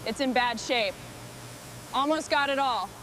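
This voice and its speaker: A woman's voice: sits high, a little slow. A woman playing a determined mechanic who leads a machinery crew, speaking in terse, focused muttering and commands. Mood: focused, appraising. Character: determined hands-on female mechanic leading a heavy machinery restoration crew. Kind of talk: scripted workplace dialogue with muttering and commands. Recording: loud machinery and vehicle noise behind.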